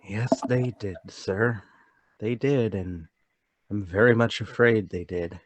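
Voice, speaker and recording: Radio play: male actor as meek, nervous male lodger, low register, hesitant and courteous, dry close sound. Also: takes it slowly, dry recording. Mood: meek, formal. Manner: hesitant, repeating himself, polite. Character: timid, nervous middle-class man lodger, secretly excited. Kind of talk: radio-play table read.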